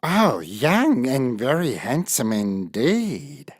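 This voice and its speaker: Woman voicing a clever, lively talking tomcat. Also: extremely low pitch, slow, slightly rough, exaggerated voice. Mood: flattering. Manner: persuasive, deferential to royalty. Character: clever, confident talking cat in boots, male. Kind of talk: children's fairy tale cartoon acting.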